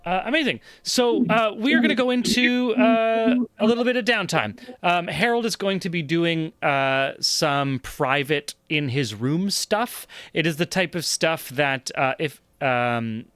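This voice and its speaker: A man running a tabletop role-playing game as game master, narrating with many pauses and fillers. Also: on the high side, fairly bright tone, smooth, dry recording.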